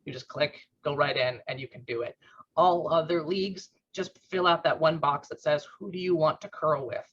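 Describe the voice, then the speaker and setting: A man explaining club updates in an online meeting, talking informally with lots of fillers, his voice rough and strained after a workout. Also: on the high side, normal speed, a bit bright. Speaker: club board secretary. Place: at home on a video call. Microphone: computer mic on a video call. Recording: muffled video call audio.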